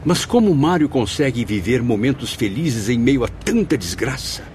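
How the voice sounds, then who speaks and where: A man's voice: mid-range voice, expressive, normal speed, a little mellow, a little hiss or hum, natural voice. A Brazilian actor in a radio drama playing a compassionate, troubled spirit who learns and recounts what he witnesses, solemn and earnest. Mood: puzzled pity. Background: dramatic music.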